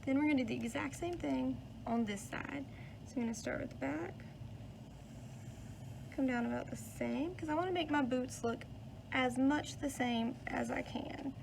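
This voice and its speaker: A woman teacher with a high voice and noticeable creak. She talks as if to young children, in the manner of a classroom read-aloud and lesson. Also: moderate pace, noisy background.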